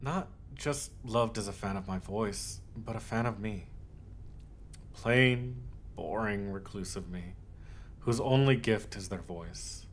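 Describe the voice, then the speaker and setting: A man playing an obsessive, possessive, lovesick yandere in a scripted ASMR roleplay, speaking haltingly with cooed endearments. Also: lowish voice, slight background noise. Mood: wistful, intimate. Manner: halting, cooing endearments, swings from hushed to insistent.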